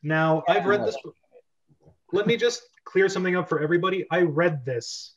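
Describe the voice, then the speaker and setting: A man's voice: high voice, normal speed. A young man hosting a script reading club, reading stage directions and chatting casually. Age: young adult. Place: bedroom on a video call. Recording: dull lo-fi webcam audio.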